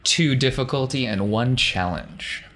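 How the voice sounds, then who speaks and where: A man's voice: mid-range voice, unhurried, sharp tone. A man improvising narration as game master of a tabletop role-playing game. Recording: faint noise behind voice.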